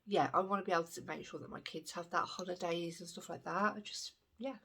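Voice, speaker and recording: Gravelly-voiced woman in her thirties. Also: fairly low pitch, steady tone, fairly quick, fairly bright tone. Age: 30s. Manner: chatty, rambling, frank. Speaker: makeup vlogger. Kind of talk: casual Q&A chat while doing makeup.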